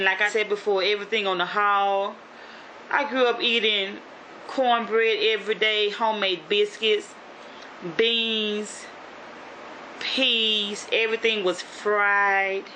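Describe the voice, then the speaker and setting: A woman's voice: medium pitch, level tone, slow pace, bright, forceful tone, a little hiss or hum, rough recording. A woman from Shreveport in North Louisiana with a Southern accent, vlogging in a casual motivational style, rambling with lots of "you know" and restarts. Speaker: YouTube vlogger.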